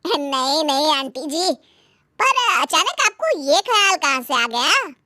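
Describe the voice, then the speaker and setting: A woman voicing a polite, skeptical young male houseguest in an animated moral story, with a lightly dismissive manner. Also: sits high, slow pace, no echo, studio quality, heavily acted. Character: polite, skeptical young man visiting his in-laws.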